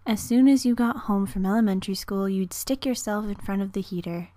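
A woman playing a gentle, struggling mother in an amateur anime dub audition, tender, apologetic and wistful. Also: medium pitch, brisk, no echo, lightly acted.

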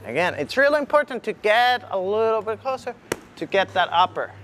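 The high-voiced young man you'd hear coaching striking drills on a gym floor, with some room noise around him. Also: sing-song, a little fast. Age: young adult. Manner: demonstrating punches while talking. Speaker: kickboxing coach. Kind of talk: instructional demonstration with short cues. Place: large indoor MMA gym. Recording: clearly audible background ambience noise.

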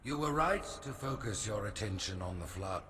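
An actor playing an aged, imperious alien high priest who speaks with formal, condemning solemnity in a video game. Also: male voice, lively intonation, normal speed, sharp tone. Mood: stern judgment. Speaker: religious leader passing judgment. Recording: echoey hall with background hiss.

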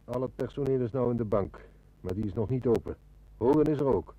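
An actor playing a calm, wry, seasoned police inspector, measured and matter-of-fact, in a radio drama. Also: male voice, medium pitch, phone-quality sound, natural voice. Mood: composed. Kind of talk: Dutch radio detective drama.

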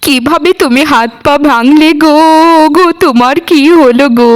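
Woman playing a high-pitched, demanding cartoon wife. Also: moderate pace, close to an everyday voice. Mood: wailing, distraught. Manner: demanding, scolding, melodramatic. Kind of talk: Bengali cartoon voice acting. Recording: music behind the voice. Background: music.

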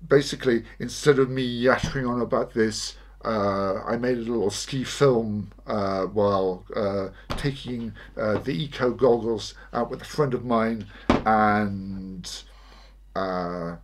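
A man's voice: on the low side, slow pace. A man chatting casually about gear he reviews, filling his talk with ums and uhs. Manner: rambling, many ums and uhs. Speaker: gear reviewer and channel host. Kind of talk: casual product review chat.

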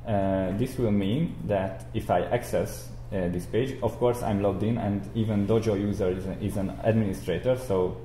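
Young male software instructor, low and slightly mellow, halting explanatory delivery, roomy sound with light noise. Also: fairly even intonation. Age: young adult. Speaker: tutorial instructor. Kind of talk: explanatory software screencast lecture. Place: indoor room, speaking beside a screen recording. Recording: roomy echo, audible background noise.

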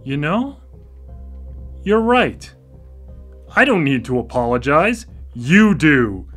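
A man's voice: fairly high pitch, very animated, takes it slowly, fairly bright tone, faint music behind, exaggerated voice. A male actor in a radio play playing a dependent, easily rattled brother with a hesitant, emotional delivery. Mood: uneasy. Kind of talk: live radio play acting.

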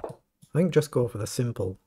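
A middle-aged man with a croaky voice, thinking aloud as he plays fast chess and comments on each move. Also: medium pitch, lively intonation, noisy background. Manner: thinking aloud, muttering over moves. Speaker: chess streamer. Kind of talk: live game commentary.

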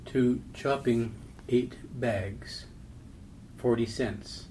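Mid-pitched man reading poetry aloud. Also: fairly even intonation, drawn-out words, warm tone, poor audio. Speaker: poetry reader. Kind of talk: literary poem reading.

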